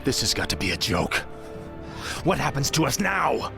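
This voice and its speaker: A man voicing a young, brooding death knight in a video game, with a low gritty voice and curt delivery. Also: big pitch swings, moderate pace, vocal fry, music in the background. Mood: indignant. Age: young adult. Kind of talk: dramatic video game acting.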